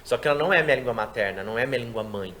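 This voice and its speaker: A man who works as a sign language interpreter, chatting in an interview and explaining his work in a lively way. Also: mid-range voice, slight background noise. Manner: quick, explanatory, animated delivery. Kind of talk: casual interview chat.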